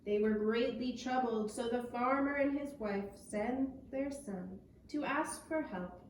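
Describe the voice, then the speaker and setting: Woman doing puppet show storytelling for little kids, really slow n measured, roomy echo, noisy recording a bit away from the mic. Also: fairly high pitch. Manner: measured, deliberate phrasing. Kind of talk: storybook narration for young children. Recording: echoey, noisy room, distant mic.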